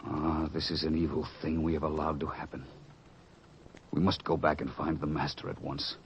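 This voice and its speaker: An actor playing an earnest, anxious disciple in a biblical radio drama, with formal, theatrical delivery. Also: male voice, extremely low pitch, flat delivery, normal speed. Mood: grave, urgent. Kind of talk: old-time religious radio drama acting. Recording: dull, noisy old radio recording.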